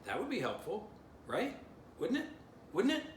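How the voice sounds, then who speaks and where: A man voicing an eager, rambling pet dog in a children's storybook, begging excitedly. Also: high voice, normal speed, voice pushed up. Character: excitable, pleading, window-loving male pet dog. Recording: distant mic, roomy, noisy.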